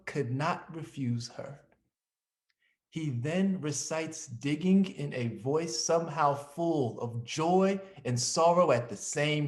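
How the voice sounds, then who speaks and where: Higher-pitched man presenting a scripted introduction.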